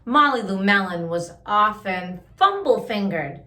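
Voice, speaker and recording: A woman reading a picture book aloud to young listeners, with expressive drawn-out emphasis and chatty asides. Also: mid-range voice, reverberant. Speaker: children's story-time host reading a picture book aloud.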